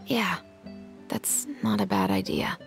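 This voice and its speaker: A woman voicing a steadfast, resolute young musketeer in an anime game, with earnest, measured theatrical delivery. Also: rumbling low, very animated, gravelly, soft background music, heavily acted. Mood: gentle.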